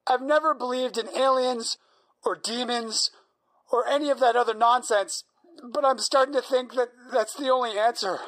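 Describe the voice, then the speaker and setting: A woman playing an exhausted, terrified insomniac patient in an audio drama, halting, anxious and pleading. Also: on the high side, steady tone, a bit bright, lightly acted, low-quality recording. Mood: exhausted, frightened.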